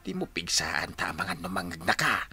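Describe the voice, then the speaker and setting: A man playing an excitable, grumbling family man in a radio drama, theatrical and exclaiming, with an Ilocano accent. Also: low voice, normal speed, soft background music, heavily acted. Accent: Ilocano, northern Philippines.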